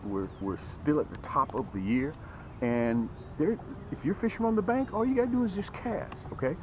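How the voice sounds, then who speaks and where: A middle-aged man hosting a fishing vlog from a small boat on a lake, talking energetically to camera and often adding "okay?" and "boom". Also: lo-fi sound, background noise. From Atlanta, Georgia area. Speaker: fishing channel host. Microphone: camera close on a boat.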